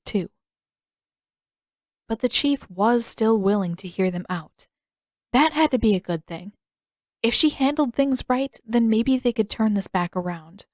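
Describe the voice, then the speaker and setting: A woman's voice: medium pitch, no room sound. A woman narrating a scripted story as an audiobook-style reader, close to the microphone. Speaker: fan fiction author and reader.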